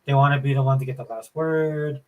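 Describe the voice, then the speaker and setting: A man with a mid-pitched, slightly whiny voice chats informally. His delivery is loose and conversational, sprinkled with like and dude. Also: steady tone, a little fast, a crowd in the background. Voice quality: somewhat whiny. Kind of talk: casual chat.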